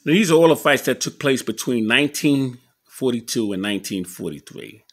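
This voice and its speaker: A man giving a casual show-and-tell commentary as an enthusiastic collector, halting a little as he reads old clippings aloud. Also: medium pitch, expressive. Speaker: boxing memorabilia collector showing his scrapbooks on video.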